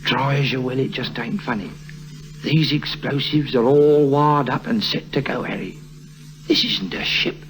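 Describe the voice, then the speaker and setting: An actor playing a grumbling, uneasy smuggler crewman with a gravelly voice in a vintage radio drama. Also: medium pitch, measured pace, faint music behind, lightly acted, poor audio. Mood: alarmed, grumbling. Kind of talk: 1950s radio drama acting.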